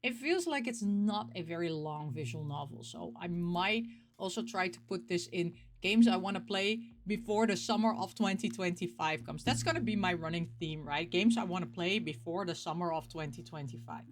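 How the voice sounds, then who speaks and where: A woman hosting a casual video about games, talking in an expressive, rambling way and repeating words for emphasis. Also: on the low side, normal speed. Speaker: host of a game collecting show. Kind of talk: casual chat, showing game pick-ups.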